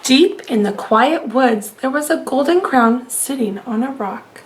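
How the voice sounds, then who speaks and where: A woman reading aloud to children as a storyteller, expressive and asking her listeners questions. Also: high voice, unhurried, noisy background.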